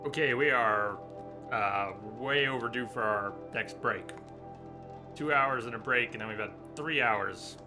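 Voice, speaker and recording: A man's voice: mid-range voice, measured pace. A man livestreaming a game, chatting casually to his viewers. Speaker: game streamer. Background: game music.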